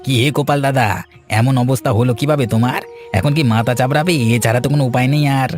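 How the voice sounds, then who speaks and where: A man's voice: mid-range voice, steady tone, quick pace, a little mellow, faint music behind, natural voice. A man voicing a nosy, smug village neighbor in a cartoon, mocking under a show of consolation.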